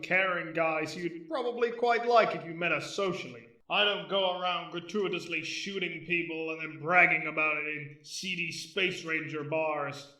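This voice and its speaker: Man playing a high, blustering space cop. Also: pushed and bright. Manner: hectoring, self-justifying. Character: blustering trigger-happy space cop who claims sensitivity. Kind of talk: amateur sci-fi comedy audio drama. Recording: roomy echo, distant from mic.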